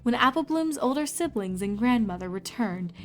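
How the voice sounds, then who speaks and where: A woman's voice: a little high, animated, moderate pace, faint music behind. A woman reading scripted story narration as the narrator of a fan fiction.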